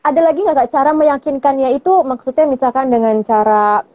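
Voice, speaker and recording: Higher-pitched woman hosting a chat. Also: a little fast, dull audio. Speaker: interviewer, host. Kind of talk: casual chatty interview.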